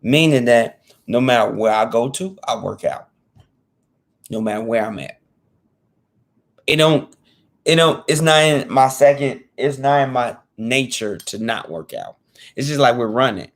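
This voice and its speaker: A man's voice: mid-range voice, expressive, measured pace, pauses often. A man in his thirties talking casually to camera as a fitness vlogger, earnest and repetitive, restating his points in informal slang. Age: 30s.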